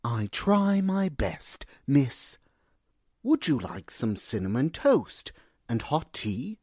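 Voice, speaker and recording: A woman's voice: rumbling low, big pitch swings, slow, warm tone, breathy edge, no room sound, clean studio sound, full character voice. A woman playing a formal, servile male butler with a sneaky air in a radio drama. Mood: obsequious, measured. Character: smooth, sneaky, deferential male household butler.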